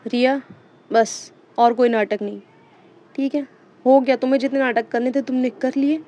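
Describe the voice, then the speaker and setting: A woman's voice: high voice, steady tone, moderate pace. A woman voicing a cold, scornful spouse who speaks curtly and dismissively. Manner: curt and dismissive, flaring into shouting.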